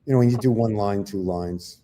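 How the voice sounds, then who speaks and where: A man's voice: lowish voice, fairly quick, warm tone, a little hiss or hum. An older man, a comedian co-hosting, telling rambling, self-deprecating stories in casual chat. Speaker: comedian co-host.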